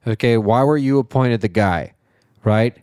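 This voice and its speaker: A man's voice: mid-range voice, measured pace, darkish tone, clean tone, no room sound, clean studio sound. A man co-hosting a podcast, chatting casually and rambling with lots of fillers and swearing. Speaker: podcast co-host. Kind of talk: casual chat.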